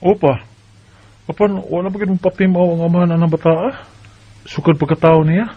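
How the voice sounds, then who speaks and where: An actor playing a devoted, playful young husband in a sentimental radio drama, tender and earnest. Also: male voice, fairly high pitch, steady tone, brisk, breathy edge. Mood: earnest, concerned. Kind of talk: sentimental radio drama acting with first-person narration. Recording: dull lo-fi radio sound with music.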